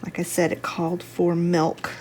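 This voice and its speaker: A woman with a slightly stuffy, congested voice, giving a casual, chatty home cooking demonstration at an unhurried, thinking-aloud gait. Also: lowish voice, completely flat delivery, a little mellow, slight background noise. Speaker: home cook, video host. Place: home kitchen.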